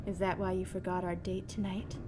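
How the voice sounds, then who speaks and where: A woman's voice: lowish voice, level tone, brisk, lightly acted. Woman acting a brassy forties sweetheart, very noisy recording. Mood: flirtatious, teasing. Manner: slangy, teasing, forward. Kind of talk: 1940s radio acting. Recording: very noisy old 1940s radio recording.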